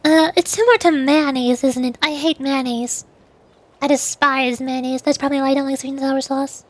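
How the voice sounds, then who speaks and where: A high-voiced woman streaming a game and chatting casually. She speaks haltingly, circling back over her words, and the sound is close and dry. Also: fairly even intonation, no room sound. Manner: hesitant, halting, repeats words. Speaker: VTuber streamer. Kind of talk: casual gaming stream chat.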